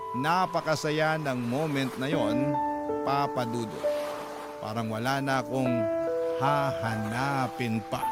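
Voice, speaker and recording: A man giving scripted first-person dramatic narration as a radio storyteller. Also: medium pitch. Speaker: storytelling radio host reading a letter. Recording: loud music bed under voice.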